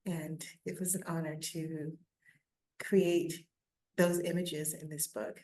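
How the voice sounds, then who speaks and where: A woman with a gentle, measured manner, talking informally and reading a children's story aloud. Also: deep voice, level tone. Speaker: children's book illustrator.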